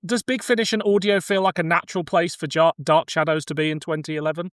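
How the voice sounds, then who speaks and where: A man's voice: a little high, steady tone, fast talker, no room sound, studio quality. A man co-hosting a podcast, giving casual opinions on audio drama in a blunt, informal, sweary manner. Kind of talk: casual review discussion.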